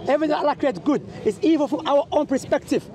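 A man's voice: very high-pitched, expressive, quick pace, a little mellow. A man from Algeria in a heated outdoor street debate, combative, interrupting and repeating his questions. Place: outdoors in a park, among a crowd. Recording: outdoor park recording amid a crowd.

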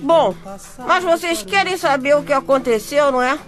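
A woman's voice: high voice, moderate pace, soft background music, natural voice. An actress playing an exasperated, gossipy Brazilian bricklayer's wife who chattily narrates her misfortunes in a radio soap. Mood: chatty, confiding. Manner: chatty, addresses listeners directly. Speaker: narrator and character. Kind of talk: radio soap opera acting, chatty storytelling.

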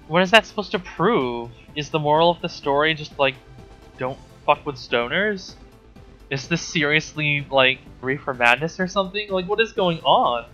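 Exasperated man ranting in a bright, forceful voice. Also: fairly high pitch, muffled recording. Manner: exasperated, sarcastic, profane. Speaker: host reviewing a book. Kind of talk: casual comedic rant, book commentary.

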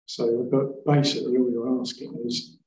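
A man on a council committee explaining planning rules at length, halting and restarting as he goes. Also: medium pitch, level tone, darkish tone, slight background noise. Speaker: committee member. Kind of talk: council meeting discussion. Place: large meeting room. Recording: echoey room with faint ambience.

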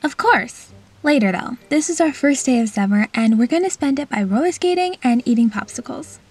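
A woman's voice: sits high, expressive, a little fast, a little mellow, breathy edge, soft background music. A woman playing a dramatic, sulky young girl with a whiny manner in an amateur doll stop-motion story. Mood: lively.

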